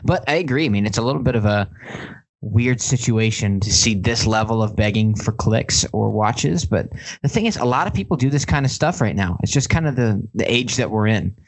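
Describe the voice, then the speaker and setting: A man co-hosting a podcast, giving his opinions in a casual, measured, even-handed way. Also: lowish voice, low-quality recording. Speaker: podcast co-host.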